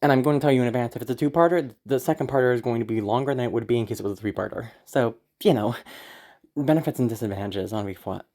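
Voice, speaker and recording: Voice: mid-range voice, fast talker, a little mellow. Nervous creator rambling casually, apologetic, bit sweary, sounds sick w a tired sore throat. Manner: anxious, apologetic, hesitant. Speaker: audio content creator. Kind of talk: casual rambling update to listeners.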